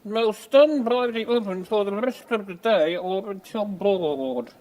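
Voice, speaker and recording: A man playing an earnest, unflappable cookery instructor in an audio comedy, deliberate and deadpan in his absurd instructions. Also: high-pitched, rough recording. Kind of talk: parody cookery demonstration.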